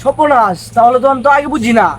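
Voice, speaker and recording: Man as a gullible, high-voiced worried villager. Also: quick pace, fairly bright tone, a little hiss or hum. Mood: regretful. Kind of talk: amateur comedy skit acting.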